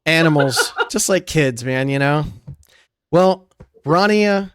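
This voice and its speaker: A man hosting a casual podcast interview, jokey and quick to laugh. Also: a little high, expressive, fairly bright tone, clear, resonant tone, no echo, studio quality. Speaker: podcast host.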